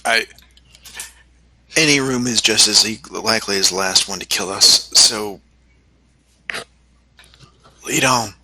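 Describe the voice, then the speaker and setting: A man playing in a tabletop role-playing game, speaking in character in terse, halting phrases. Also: lowish voice, pushed and bright, slight background noise.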